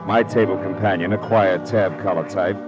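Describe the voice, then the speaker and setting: A male actor as a sardonic private detective from a 1940s radio drama. His voice is on the low side, clipped and wry. Prominent music plays behind him. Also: fairly even intonation, moderate pace, lightly acted. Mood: hardboiled narrating. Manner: dry, sardonic, clipped wisecracks. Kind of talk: 1940s hardboiled radio acting.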